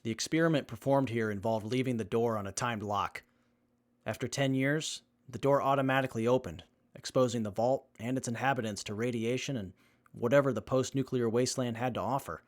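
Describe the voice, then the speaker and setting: A man acting as an earnest, capable young survivor with a measured, methodical manner in a post-apocalyptic audio drama. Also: mid-range voice, close to an everyday voice. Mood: grave.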